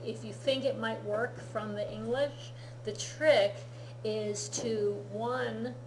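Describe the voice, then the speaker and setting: A woman teaching a Japanese lesson, explaining patiently in an unhurried, easygoing way. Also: on the high side, fairly even intonation, a little hiss or hum. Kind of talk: relaxed classroom-style lesson. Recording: echoey room.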